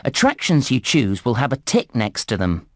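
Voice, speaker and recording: A man voicing a chirpy, helpful theme park guide in a children's video game, giving scripted instructions clearly and deliberately. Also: medium pitch, wildly swooping pitch, dry recording, clean studio sound. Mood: helpful, upbeat. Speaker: video game tutorial narrator.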